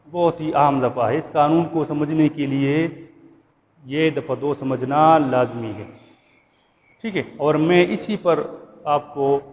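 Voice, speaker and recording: Man lecturing in a reverberant, noisy room. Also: on the high side. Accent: Pakistani. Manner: didactic, repeats points and checks understanding. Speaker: law instructor. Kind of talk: explanatory lecture going through a statute clause by clause. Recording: reverberant room with background noise.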